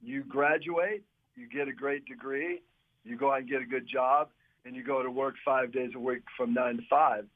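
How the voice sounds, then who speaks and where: A mature man answering as a university athletics official in a radio interview, measured and advisory, on a muffled band-limited clip. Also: medium pitch, fairly even intonation.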